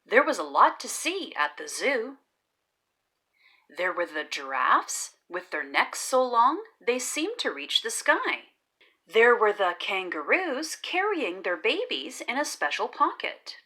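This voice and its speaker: Very animated, bright woman reading children's stories. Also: on the high side. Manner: deliberate, animated storytelling delivery. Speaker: children's read-aloud host and storyteller. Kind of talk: expressive scripted children's story narration.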